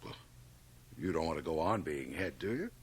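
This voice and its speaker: An actor playing a blustering board chairman of about fifty in a radio drama, with an emphatic, sometimes halting delivery. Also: very deep voice, expressive, husky, full character voice. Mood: smooth, hearty. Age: middle-aged. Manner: emphatic, hesitant then blustering. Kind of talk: 1980 radio drama acting. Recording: home cassette transfer of radio broadcast.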